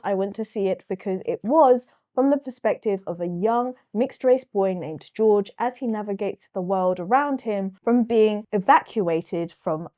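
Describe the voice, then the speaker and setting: A woman's voice: mid-range voice, a little mellow. A woman with a Cockney accent reviewing a film on her own channel, frank and opinionated. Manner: frank and opinionated, with occasional fillers. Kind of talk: solo movie review to camera.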